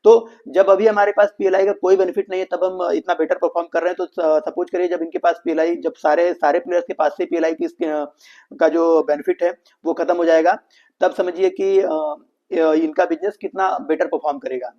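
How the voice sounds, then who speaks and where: A man's voice: high-pitched, steady tone, brisk, a little mellow. An Indian man presenting a financial explainer, addressing viewers as friends and mixing English business terms into his explanations. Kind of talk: explainer commentary on an earnings call. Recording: dull lo-fi voice recording.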